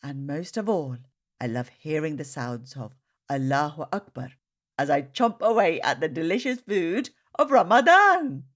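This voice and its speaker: A woman reading the words of tender, devout family members in a children's storybook, gently and earnestly. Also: low voice, big pitch swings, a little slow, full character voice.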